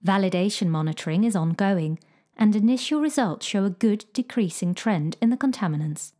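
A woman giving a measured, clear scripted narration for a corporate explainer video. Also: mid-range voice, expressive, mellow. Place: studio voice-over.

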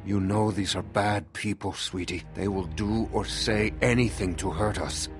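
A man playing a protective, wary middle-aged father in a survival video game, speaking in a grave, insistent, deliberate way. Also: fairly low pitch, fairly even intonation, music in the background, close to an everyday voice.

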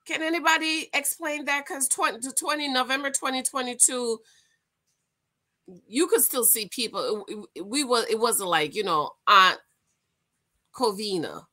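A middle-aged woman giving informal, animated reaction commentary, exclaiming, stretching words for emphasis and repeating her phrases. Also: a little high, unhurried. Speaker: host of a commentary channel. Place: small room at home, indoors.

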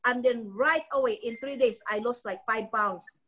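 A woman's voice: on the high side, moderate pace, pushed and bright. A middle-aged Filipino woman giving a motivational business training talk on a live video call from her living room, close to a phone mic, repeating and restarting phrases. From Philippines. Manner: repetitive, restarting, preachy. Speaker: network marketing trainer. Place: ornate living room at home. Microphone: phone close to the face.

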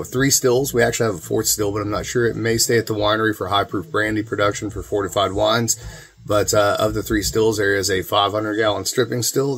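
Man, middle-aged, fairly low pitch, casual talking-head delivery, folksy, small indoor room. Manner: folksy, informal, addresses viewers as guys. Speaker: distiller and video channel host.

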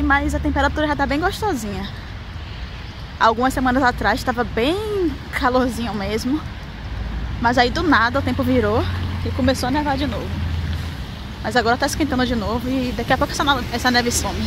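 A young woman from Salvador with a Bahian Brazilian accent, chatting casually and informally to a handheld camera as a vlogger. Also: fairly high pitch. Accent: Bahian Brazilian Portuguese. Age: young adult. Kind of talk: casual vlog chat to camera. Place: at home and walking on city streets. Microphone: handheld camera at arm's length. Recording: noisy handheld recording with ambient noise.

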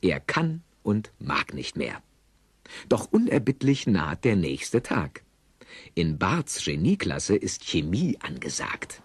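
A man with a husky voice narrating an audio drama in a wry, playful storytelling manner. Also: sits low, wildly swooping pitch, slow pace, no echo.

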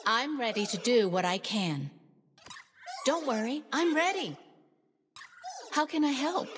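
An earnest, determined young warrior woman for an animated racing game. Her voice sits fairly high and swings in a lively way through short, spirited lines. A long reverb tail hangs on each phrase. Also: unhurried, slight background noise. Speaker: video game character voice.

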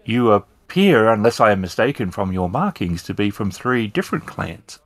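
Guy playing a young lady street performer in a tabletop game, formal n humble, lowish voice, really dry sound. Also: big pitch swings, moderate pace, no echo. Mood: polite, curious. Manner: formal, courteous. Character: pretty, mischievous young female street performer, polite and humble.

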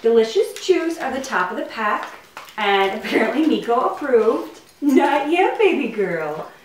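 A woman's voice: fairly high pitch, very animated, unhurried. A young adult woman hosting a casual home vlog, talking to camera and cooing playfully to her pets. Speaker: vlog host. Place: living room at home, indoors. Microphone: camera a few feet away. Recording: roomy, distant mic, faint noise.

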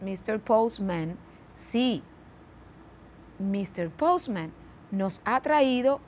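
Middle-aged female TV teacher with a Cuban Spanish accent, slightly high voice, slow and careful, switching between Spanish and English, studio lapel sound. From Cuba. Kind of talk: televised classroom lesson. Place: TV studio with virtual set.

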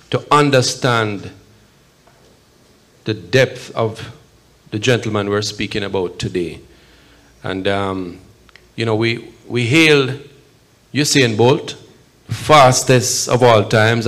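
A middle-aged man with a Jamaican accent giving a measured, emphatic public speech at a podium microphone in an event hall. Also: fairly low pitch, a bit bright. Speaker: consul general, guest speaker at podium. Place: event hall, at a podium before a seated audience.

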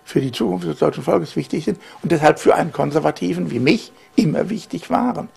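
A German man in his sixties, a journalist, giving a halting, emphatic interview answer with many fillers in a living room. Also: a little high, vocal fry, a little mellow, slightly rough.

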